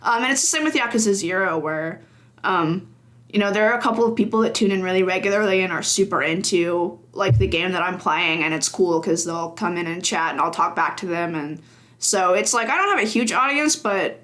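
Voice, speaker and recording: A woman's voice: fairly low pitch, fairly quick, a bit bright. A woman in her early twenties, a podcast guest chatting casually and rambling, laughing often between her thoughts. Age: early 20s. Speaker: podcast guest, games journalist. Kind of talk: casual chat.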